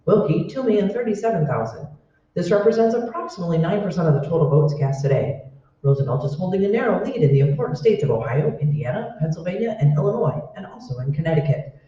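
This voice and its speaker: Man as formal vintage radio news announcer, urgent, swinging intonation, heard from afar in a booming hall. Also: expressive, quick pace, dark tone. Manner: declamatory, formal. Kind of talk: 1940s radio newsreading. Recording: echoey hall, distant mic, dull sound.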